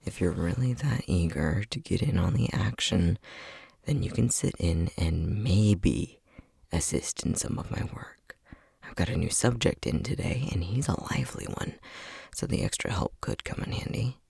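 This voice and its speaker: Man playing a husky, breathy unhinged scientist. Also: low voice, lively intonation, normal speed, a little mellow, heavily acted. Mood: weary, bossy. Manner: sardonic, teasing, condescending, with frequent sighs. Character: unhinged scientist, bossy, sardonic, theatrically playful captor. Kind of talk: ASMR roleplay acting.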